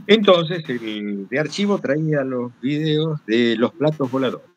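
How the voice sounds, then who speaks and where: An older Argentine man speaking at a medium pitch as a guest at a talk. He tells anecdotes reflectively, rambling and halting as he goes. He often repeats a phrase to underline his point. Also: lively intonation, lo-fi sound. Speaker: guest speaker, retired radio broadcaster.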